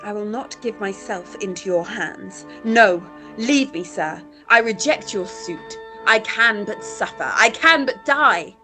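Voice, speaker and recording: An actress playing a trapped young heiress, anguished and proud, in formal, tremulous old-time radio melodrama style. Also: female voice, mid-range voice, lively intonation, unhurried, few pauses, pushed and bright, faint music behind. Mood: defiant, despairing. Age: young adult.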